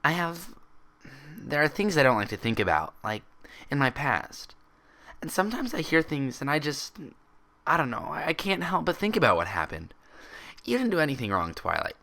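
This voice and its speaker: A man voicing a sad, withdrawn young female pony who talks quietly and haltingly in a storybook reading. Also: fairly high pitch, big pitch swings, voice pushed up, heavily acted. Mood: sad, hesitant.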